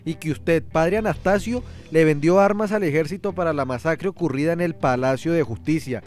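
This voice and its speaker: A man's voice: fairly high pitch, fairly quick, darkish tone, soft background music, close to an everyday voice. A man playing a defiant accused priest who denounces his judges emphatically in an audio drama. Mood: indignant, accusing.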